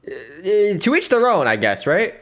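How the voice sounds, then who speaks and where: A man's voice: sits high, sing-song, unhurried, fairly bright tone. A man streaming, giving rambling, playful reaction commentary on a dating show and laughing often.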